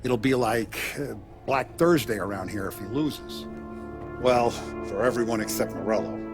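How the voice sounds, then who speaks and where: An actor voices a shrewd mob boss who lays out a plan with measured authority. Music plays under the game cutscene. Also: male voice, medium pitch, lightly acted.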